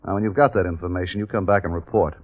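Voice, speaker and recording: Actor as low-voiced army captain, old radio drama. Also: male voice, fairly even intonation, rapid-fire, close to an everyday voice. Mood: briskly commanding. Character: weary, commanding British army captain narrating his wartime mission. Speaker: narrator and commanding officer. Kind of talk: 1950s radio drama acting. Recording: dull 1950s radio recording with music.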